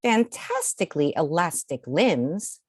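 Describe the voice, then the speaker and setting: A woman reading aloud to children in an expressive, theatrical storytelling style, drawing out key words. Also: lowish voice, measured pace, no room sound, clean studio sound. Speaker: children's picture book reader.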